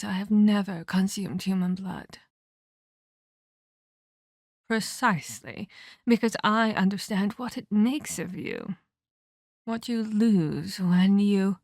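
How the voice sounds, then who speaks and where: A woman voicing an ancient female vampire in an ASMR roleplay, a measured, patronizing mentor who scolds and teases with veiled menace. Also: mid-range voice, dry recording, studio quality. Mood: wistful, ominous. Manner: condescending, teasing, measured, addresses listener as child.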